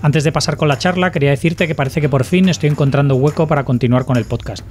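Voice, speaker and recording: Male host, relaxed interviewer, webcam sound, low music bed. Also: quick pace. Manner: friendly, prompting with short questions. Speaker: podcast host. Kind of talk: casual interview. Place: home room with shelves. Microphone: video call, webcam.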